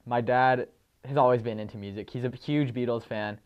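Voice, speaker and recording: Polite teenage boy, smooth voice. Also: mid-range voice, brisk, highs cut off. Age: late teens. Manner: polite, steady, a little formal, clears throat often. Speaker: guest, high school drummer. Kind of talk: TV interview answers.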